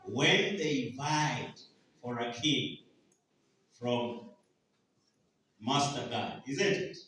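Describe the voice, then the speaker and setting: A man's voice: mid-range voice, lively intonation, extremely slow, bright, forceful tone. A Ugandan man preaching a sermon, often asking the congregation to finish his sentences in a call-and-response style. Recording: echoey hall, voice from far off.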